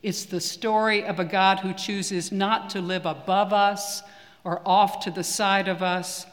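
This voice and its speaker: A man preaching a sermon in a church, measured and earnest with deliberate pauses. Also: high-pitched, fairly even intonation, fairly bright tone. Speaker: priest. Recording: long reverb of a church hall.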